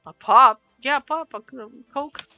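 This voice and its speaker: A woman from Ohio co-hosting a casual podcast, animated and swearing a lot. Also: high-pitched, measured pace, no echo, dull audio, faint music behind. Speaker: podcast co-host. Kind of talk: casual chat. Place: home streaming setup.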